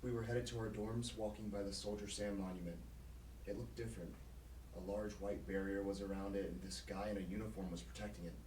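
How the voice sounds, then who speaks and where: A man's voice: fairly low pitch, steady tone, fairly quick, a little mellow, natural voice. A man playing an earnest, proud young student activist in an audio drama. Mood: earnest, recounting. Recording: echoey, distant, faint background noise.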